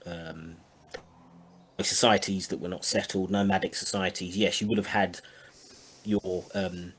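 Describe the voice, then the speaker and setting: Low, husky man giving halting sceptical commentary. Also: flat delivery, a bit bright. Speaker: panel commentator. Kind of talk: casual critical discussion.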